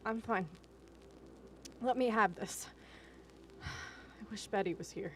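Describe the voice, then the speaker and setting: A woman's voice: medium pitch, slow pace, slight background noise. An actress playing a driven, defensive wife and mother in an audio drama, intense and emotional. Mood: weary, pleading.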